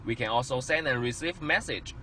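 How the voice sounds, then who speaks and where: Male product demonstrator, scripted narration, non-native phrasing, mid pitch, slight background noise. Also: a little fast, pushed and bright.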